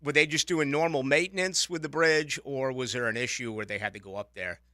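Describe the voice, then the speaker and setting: A man's voice: mid-range voice, brisk, bright, forceful tone, no room sound, studio quality. A man with a Boston accent hosting a casual radio talk show, rambling and joking. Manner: rambling, self-correcting, jokey. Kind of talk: casual sports radio chat. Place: radio studio. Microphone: studio microphone.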